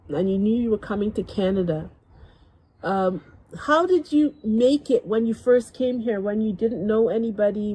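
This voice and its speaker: A woman's voice: medium pitch, mellow, breathy edge, low-quality recording. A woman born in Guyana hosting an interview with her father, asking long, rambling questions. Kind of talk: casual family interview.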